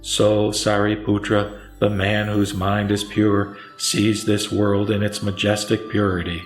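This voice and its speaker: Low man as a calm, authoritative Buddha. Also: a little slow, echoey room, faint music behind, natural voice. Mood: calm authority. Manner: solemn, instructive. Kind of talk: scripted sutra audio drama.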